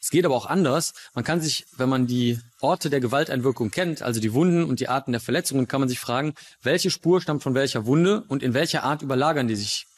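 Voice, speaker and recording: A man with a medium-pitched voice speaks as an expert being interviewed. He lays out his explanation step by step, in plain and simple terms. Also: quick pace, few pauses. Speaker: forensic expert.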